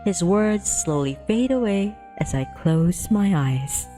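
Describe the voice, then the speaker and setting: A woman's voice: mid-range voice, takes it slowly, soft background music. A woman livestreaming a visual novel, casually reading narration aloud with a playful manner. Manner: playful, excitable in asides.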